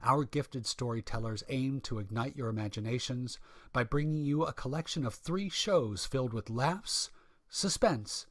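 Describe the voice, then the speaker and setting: A man's voice: medium pitch, moderate pace, dry recording, clean studio sound. A man hosting a vintage-style radio show with a scripted, theatrical and emphatic introduction. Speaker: radio show announcer and host.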